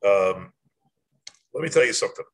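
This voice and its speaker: A man's voice: on the low side, expressive, normal speed. An older man hosting a short advice series, talking informally and instructively in a plainspoken way straight to the listener. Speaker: host of a short athletic administration advice series. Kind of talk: informal talk to camera, instructional.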